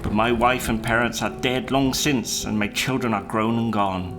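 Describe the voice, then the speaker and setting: A man voicing a courteous, selfless old fisherman in a storybook, with a gentle, earnest and resolute manner. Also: mid-range voice, fairly even intonation, normal speed, natural voice. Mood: solemn resolve. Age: older.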